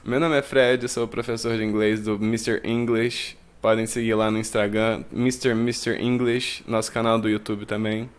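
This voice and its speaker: A man's voice: on the low side, little pitch movement, normal speed, few pauses, clear, resonant tone. A man who teaches English, hosting a casual podcast interview in a playful, joking way and switching between Portuguese and English.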